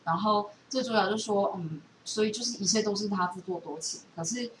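A woman's voice: mid-range voice, a little fast. A woman with a Taiwanese Mandarin accent chatting casually, retelling things in a rambling, loosely strung way. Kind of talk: casual chat, retelling a book. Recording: echoey room, distant mic, background noise.

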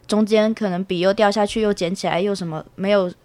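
A woman's voice: medium pitch, steady tone, quick pace, a little mellow, dry recording. Young woman explaining casually, dry sound. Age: young adult. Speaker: student guest. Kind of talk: casual explanatory interview talk.

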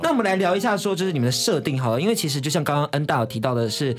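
A man's voice: on the high side, animated, racing, music in the background. A man with a Taiwanese Mandarin accent hosting a casual radio chat, teasing and cheeky with guests. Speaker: radio show host.

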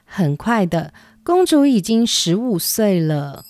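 Slow, expressive woman storyteller. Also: mid-range voice. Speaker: storyteller, podcast host. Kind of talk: scripted children's story narration. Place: dry home recording over music.

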